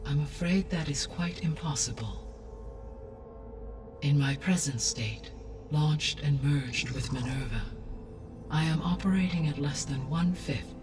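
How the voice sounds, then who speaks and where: A man playing a calm, formal artificial intelligence in a video game, with precise, measured diction. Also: fairly high pitch, recorded from a distance, natural voice. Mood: formal, explanatory. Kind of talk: video game cutscene dialogue.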